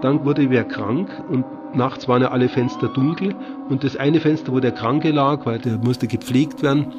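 A man's voice: mid-range voice, moderate pace, dull audio, music in the background. A man casually explaining and telling how things once were.

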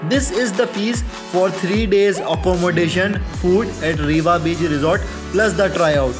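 A man's voice: high-pitched, a little slow. Man explaining over background music. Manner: instructive, addresses viewers as guys. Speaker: MMA channel host. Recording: music bed under voice.

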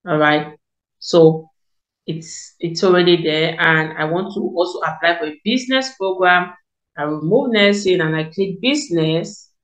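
A woman's voice: lowish voice, a little slow. A young woman from Cameroon walking viewers through an online application form, explaining each step with repeats and fillers. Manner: explanatory, repeats key points, many fillers. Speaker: education consultant, tutorial host. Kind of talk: screen-recorded tutorial walkthrough. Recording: echoey room.

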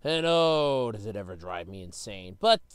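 A man's voice: lowish voice, very animated, normal speed, clean tone, dry recording. A man, slightly stuffy with a cold, delivering an exasperated, emphatic, sweary comedic solo rant as host of his own series.

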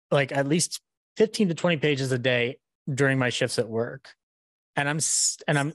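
A man in his thirties hosts a live online chat from a desk microphone at home. His voice sits at a medium pitch and sounds close and dry. He talks effusively and tends to ramble. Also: no room sound. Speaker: podcast host introducing and interviewing a guest. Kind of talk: enthusiastic live event introduction and chat. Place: indoor room at home on a video call. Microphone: close desk microphone with headset.

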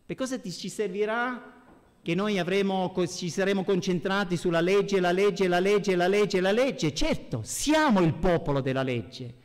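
A man's voice: sits high, expressive, moderate pace. A middle-aged man preaching a sermon as a pastor, with emphatic delivery, speaking at a lectern microphone in a church. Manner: emphatic, swinging between hushed and raised voice. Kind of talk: sermon with scripture readings. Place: church hall, indoors at a pulpit. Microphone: gooseneck lectern microphone. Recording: reverberant church hall.

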